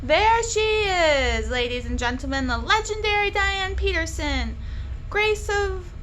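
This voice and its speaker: A woman's voice: very high-pitched, lively intonation, a little slow, a bit bright, slight background noise, voice pushed up, heavily acted. A woman voicing an adoring, precocious five-year-old girl in a storybook, announcing grandly. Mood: adoring, theatrical.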